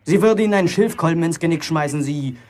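An actor playing a cheeky, boastful young bricklayer in a German radio play, talking colloquially and defiantly. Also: male voice, a little high, fast, darkish tone, slight background noise, lightly acted. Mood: defiant, mocking. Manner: colloquial, provocative, self-justifying.

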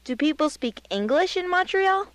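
Polite, curious female caller, acted by a woman, high-pitched, animated intonation, radio lesson dialogue. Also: normal speed, no echo.

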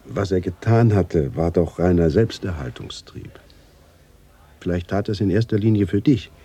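An actor playing a quiet middle-aged man in a German radio drama, questioning soberly in a raspy, breathy voice. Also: low voice, normal speed. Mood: pensive, puzzled. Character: quiet, probing middle-aged man seeking his family's truth.

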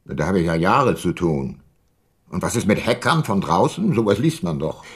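A middle-aged private detective for a radio play. His low voice is gravelly and very close and dry in sound. He is laconic and ironic, never in a hurry. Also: very animated, moderate pace, dry recording, heavily acted. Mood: dry, sceptical. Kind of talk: radio drama narration and dialogue.

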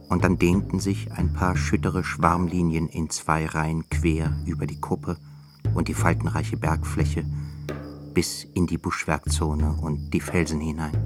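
Male narrator, very deep, solemn, music underneath. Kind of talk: scripted dramatic narration.

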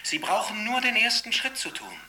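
A man's voice: high voice, huge pitch swings, moderate pace, pushed and bright, distant mic, heavily acted. An actor playing a fervent young cult preacher on a television broadcast in a radio drama, rousing and solemn with his slogans. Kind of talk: propagandist sermon in radio drama.

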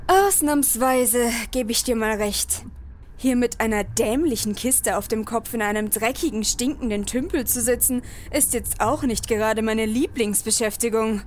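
A woman playing an exasperated, sharp-tongued adventurer in a comedy audio play, talking in a sarcastic, scolding way. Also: a little high, moderate pace, slight background noise, close to an everyday voice.

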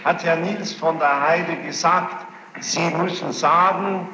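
A man formally questioning a witness as a judge, probing and insistent, with halting phrasing and a gravelly voice. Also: on the high side, fairly even intonation, sharp tone. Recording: noisy, muffled archival tape, echoey hall.

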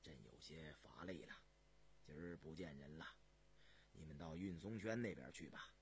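A man voicing a weary ageing Chinese emperor in a storybook reading, low, breathy and hushed, speaking slowly with commanding deliberation. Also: slight background noise. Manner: slow, deliberate, imperial.

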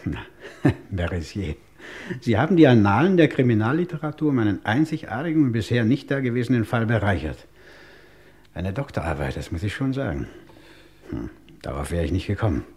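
An actor playing a stern, exasperated police inspector, brusque and insistent, in an old German radio crime drama. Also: male voice, fairly low pitch, sing-song, a little fast, darkish tone. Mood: probing.

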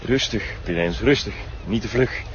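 Man playing cool criminal fixer, formal, mocking politeness, dull recording, clear noise. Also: on the low side, moderate pace, lightly acted. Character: smooth-talking, unruffled male go-between for a criminal. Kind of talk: TV crime drama acting.

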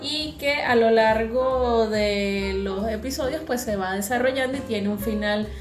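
A woman's voice: a little high, unhurried. A woman co-hosting a podcast in a casual, humorous, chatty style. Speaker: podcast co-host. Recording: roomy echo, music behind voice. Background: music.

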